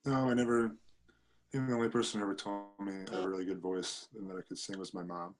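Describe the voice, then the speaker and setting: Deep-voiced easygoing young man. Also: level tone, fast talker, over music. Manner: easygoing, hesitant, repeats words. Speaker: podcast guest, punk band singer. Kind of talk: casual chat.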